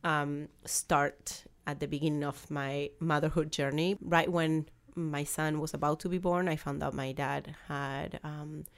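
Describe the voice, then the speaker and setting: Argentine woman, forties, deep for a woman, halting, restarts often, guest on a podcast. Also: fairly even intonation. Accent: Argentine Spanish. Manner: halting, with many restarts and fillers, laughs between thoughts. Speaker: guest, business founder. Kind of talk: conversational interview.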